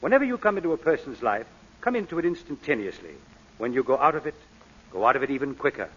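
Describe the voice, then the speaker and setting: An actor playing a suave, philosophical gentleman crook with a wry, polished theatrical delivery in an old radio play. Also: mid-range voice, very animated, fast, breathy edge. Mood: courtly, resolved. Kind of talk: 1940s radio acting. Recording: dull old radio recording, long reverb.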